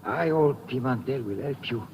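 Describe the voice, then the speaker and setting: A man's voice: mid-range voice, animated, normal speed, natural voice. An actor playing a sick, weary old man, plaintive and earnest, in 1950s radio drama style. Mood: resolute, frail. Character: sick, weary, self-sacrificing old man dreaming of America. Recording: muffled old radio recording, reverberant, noisy.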